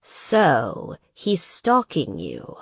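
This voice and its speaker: Low-voiced blunt man, played by a female narrator, protective, jealous, unhurried, audiobook dialogue, dry slightly muffled recording. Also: wildly swooping pitch, breathy edge, no echo, full character voice.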